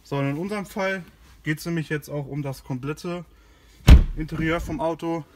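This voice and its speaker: A man's voice: fairly high pitch, fairly even intonation, normal speed, noisy background. A man giving a casual product demonstration as a car care host, informal and a little hesitant while he works. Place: inside and beside a car in a garage.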